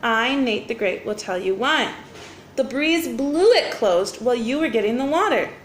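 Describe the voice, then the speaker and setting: Woman as a lively, self-important boy detective. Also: fairly high pitch, normal speed, close to an everyday voice. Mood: explaining. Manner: declarative, emphatic explanations. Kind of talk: storybook character dialogue. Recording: roomy echo, faint background noise.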